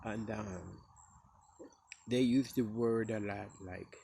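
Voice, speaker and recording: A man with a Costa Rican Caribbean English accent talks in a slow, unhurried, casual storytelling way. His voice sits fairly low, and he jumps higher when he mimics other people. Faint insects chirp behind him. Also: creaky, background noise. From Costa Rica, Caribbean coast. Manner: relaxed, acts out quoted speech in a higher voice.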